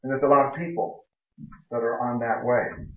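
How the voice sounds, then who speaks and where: A man preaching a sermon as pastor, in a relaxed, conversational way that rises for emphasis. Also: mid-range voice, moderate pace. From raised in the country where he speaks, years in Taiwan. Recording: echoey room, distant mic, dull sound.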